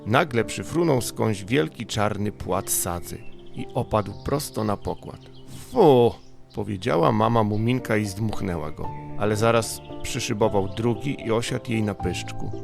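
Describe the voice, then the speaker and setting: Low, creaky male bedtime storyteller. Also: a little slow, over music. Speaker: audiobook narrator.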